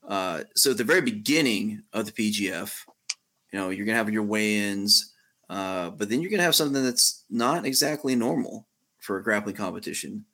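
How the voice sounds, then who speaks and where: A man hosting a podcast interview, steering the topics with frequent "uh" pauses. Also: fairly low pitch, animated, sharp tone. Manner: steering the talk, many "uh" pauses. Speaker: podcast host.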